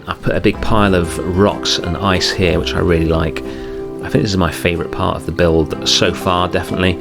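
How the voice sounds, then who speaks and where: Young guy, really low voice, chill game build commentary with some ums, guitar music under it. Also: gravelly. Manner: easygoing, steady, with frequent ums and uhs. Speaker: game channel host. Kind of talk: relaxed build commentary over gameplay.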